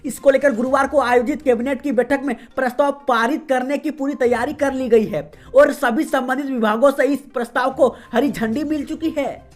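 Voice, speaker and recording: A woman reading the day's regional news as a host, in a steady, formal newsreader delivery. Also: sits high, normal speed. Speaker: news reader. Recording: faint music behind voice.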